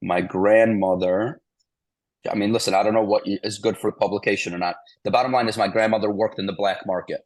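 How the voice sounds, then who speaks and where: Forties male guest from Ukraine; deep, even delivery with little movement, meandering with restarts. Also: a little fast, no echo. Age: 40s. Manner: meandering, restarts, repeats "the bottom line is". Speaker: interview guest. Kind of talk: casual interview storytelling.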